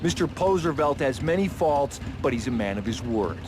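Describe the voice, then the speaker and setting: A man's voice: mid-range voice, animated, moderate pace, music in the background, close to an everyday voice. A man playing a businesslike messenger in a video game cutscene.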